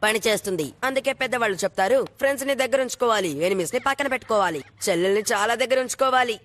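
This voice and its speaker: A woman's voice: a little high, very fast, slight background noise, lightly acted. A woman voicing a cocky, mischievous older-brother boy, sly and insistent, in a dubbed children's cartoon. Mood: scheming. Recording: loud cartoon music behind.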